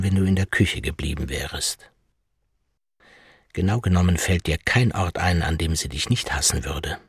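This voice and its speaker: An actor playing the bitter, resigned inner voice of an elderly professor in a radio drama, measured and sardonic. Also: male voice, low-pitched, creaky, fairly bright tone, slightly rough, dry recording, studio quality, exaggerated voice.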